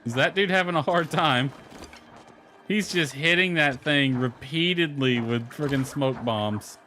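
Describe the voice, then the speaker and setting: A man's voice: a little high, a little slow, bright, forceful tone, a little hiss or hum. A man giving casual video game commentary, muttering to himself with mild grumbling and swearing.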